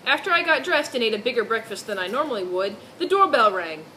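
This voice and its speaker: A woman's voice: fairly high pitch, sing-song, fast talker, very noisy. A woman doing a mock-serious dramatic reading of fan fiction, dropping in amused asides.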